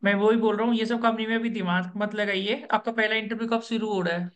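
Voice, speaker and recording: A man working as an interview coach, running an online coaching session over a video call, brisk and instructive, repeating the key points. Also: high voice, fairly even intonation, a bit bright.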